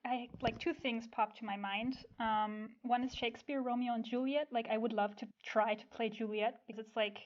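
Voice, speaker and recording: A woman's voice: a little high, steady tone, normal speed, few pauses, dull audio. A woman chatting casually as a podcast guest, giggly and peppering her talk with "like". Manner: giggly, uses "like" often. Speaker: podcast guest, stage actor. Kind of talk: casual chat.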